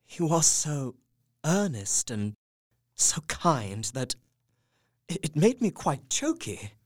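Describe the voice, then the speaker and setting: Earnest young husband, close dry sound. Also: male voice, on the high side, sing-song, takes it slowly, no room sound, full character voice. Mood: touched, reflective. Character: earnest young English husband, newly resolute, writing his journal. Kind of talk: dramatised diary narration, audio drama.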